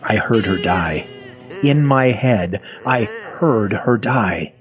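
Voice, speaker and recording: A man narrating a first-person story in a conversational, wry way, with dramatic pauses. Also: fairly low pitch, animated, soft background music. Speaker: narrator of an audio fiction story.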